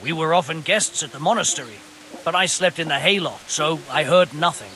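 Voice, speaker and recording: A man's voice: a little high, lively intonation, brisk, pushed and bright, rough recording. An actor playing a fearful, fatalistic brigand's henchman in a medieval video game, speaking defensively. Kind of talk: video game cutscene acting.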